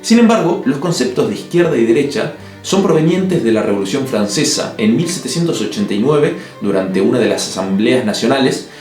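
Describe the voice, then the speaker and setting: Un hombre de Uruguay con acento del Río de la Plata, que narra un ensayo en video con opiniones firmes y toques de humor seco. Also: male voice, mid-range voice, lively intonation, fairly quick. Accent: Río de la Plata Spanish. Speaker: video essay host. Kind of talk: scripted narration. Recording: echoey room with soft music.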